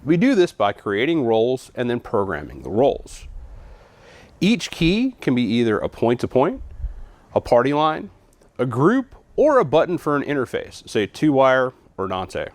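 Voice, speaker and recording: A man's voice: medium pitch, wildly swooping pitch, normal speed, no echo. A man giving a scripted, methodical product walkthrough in an instructional way, as a trainer would.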